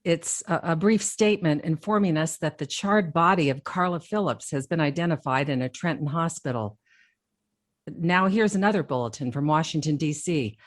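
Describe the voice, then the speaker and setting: A woman plays a radio announcer reading news bulletins in a radio drama. Her voice is very low for a woman and sounds dry and close to the mic. She reads in a clear, formal, steady manner. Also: no echo, lightly acted.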